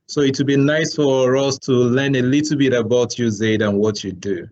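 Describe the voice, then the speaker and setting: A man's voice: mid-range voice, fairly even intonation, moderate pace, darkish tone. Male host, mid-range voice, hesitant with fillers, lo-fi video-call sound. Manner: hesitant, repeats words, many fillers. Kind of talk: online talk show hosting.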